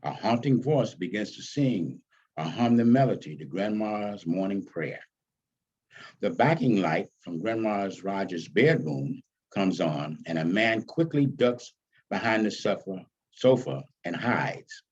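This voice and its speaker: A man reading his own stage script aloud as its author, with deliberate, dramatic phrasing. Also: fairly low pitch, expressive, a little mellow, breathy edge, muffled recording.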